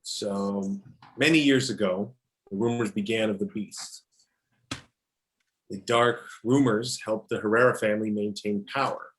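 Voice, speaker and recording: A man playing a menacing, measured dictator in an amateur table read. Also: lowish voice, fairly even intonation, fairly bright tone, poor audio. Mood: grave, measured. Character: menacing male dictator president boasting of atrocities.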